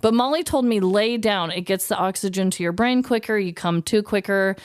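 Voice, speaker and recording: A woman's voice: medium pitch, fairly quick, clear, resonant tone, no echo, clean studio sound. A woman co-hosting a podcast, telling stories casually and comically in an informal, giggly manner. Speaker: podcast co-host. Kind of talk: casual comedic storytelling chat.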